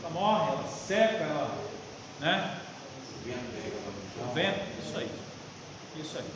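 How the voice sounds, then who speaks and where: A man's voice: on the high side, expressive, unhurried. A Brazilian man preaching conversationally as a pastor leading a Bible study, emphatic, repeating phrases and asking rhetorical questions. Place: reverberant church meeting room. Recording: very echoey hall, faint noise.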